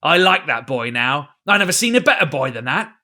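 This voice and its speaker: A man voicing a crafty, blustering old male pirate captain with gruff sea-dog talk and roaring threats. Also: medium pitch, fairly quick, sharp tone, natural voice. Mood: scornful. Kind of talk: audiobook character reading.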